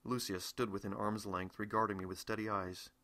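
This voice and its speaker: A middle-aged American man narrates a novel in the first person. His voice is fairly low yet bright and forward, delivered as a scripted audiobook reading by the author. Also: level tone, a little fast.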